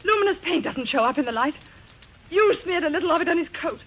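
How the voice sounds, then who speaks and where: Agitated young lady in old radio mystery, high voice, really animated, accusing, bit of hiss. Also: quick pace. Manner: insistent, accusatory, high-strung. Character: sharp, agitated young woman accusing a suspect. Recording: noisy old radio recording.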